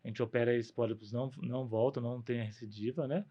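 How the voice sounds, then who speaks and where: A man's voice: medium pitch, fairly even intonation, very fast, husky, lo-fi sound. A man with a Brazilian Portuguese accent, a guest doctor explaining medical matters conversationally, often posing a question and answering it himself.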